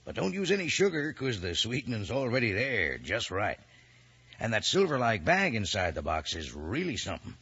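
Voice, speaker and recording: A man's voice: lowish voice, huge pitch swings, moderate pace, a bit bright, breathy edge. A man announcing a children's cereal advert on 1950s radio with folksy, emphatic sales patter. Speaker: announcer and sponsor pitchman. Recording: muffled old radio recording.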